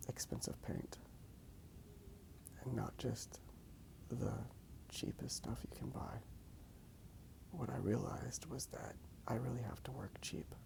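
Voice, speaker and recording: A man rambling softly in a breathy ASMR whisper, unhurried and halting as he comments on his drawing. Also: medium pitch. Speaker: host of a drawing ASMR channel.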